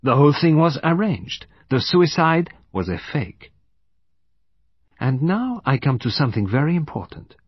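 A man acting a composed foreign detective from an audiobook mystery. His delivery is methodical and emphatic, with very lively pitch and a husky edge. The recording is dry and somewhat dull. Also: unhurried, no echo, heavily acted. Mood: explanatory, insistent. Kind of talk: audiobook character dialogue.

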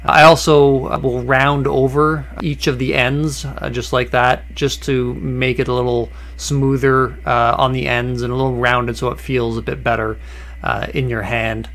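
A man at an ordinary middle pitch talks through his workshop steps in an easygoing voice-over. He pauses often on "uh" and restarts a phrase here and there. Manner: relaxed and conversational, with many "uh" fillers and restarts. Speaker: woodworking channel host. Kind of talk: voice-over narration of a workshop project.

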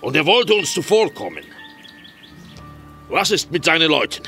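An actor playing a hot-tempered, greedy and scheming gang leader in an audio drama, theatrical and drawn-out. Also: male voice, fairly high pitch, very animated, sharp tone, faint music behind, heavily acted. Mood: brooding.

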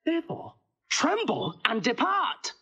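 A boastful court jester hurling comic threats in a classical stage drama. The voice swings widely and sits fairly high, with a dull, lo-fi sound. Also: female voice, wildly swooping pitch, measured pace, pushed and bright, slightly rough, heavily acted. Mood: blustering. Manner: blustering, comic. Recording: dull lo-fi audio.

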